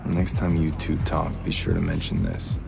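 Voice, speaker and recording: A hard covert field agent with a low voice, issuing terse, dry commands in video game cutscene style. Music plays clearly behind him. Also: male voice, big pitch swings, normal speed, exaggerated voice. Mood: firm.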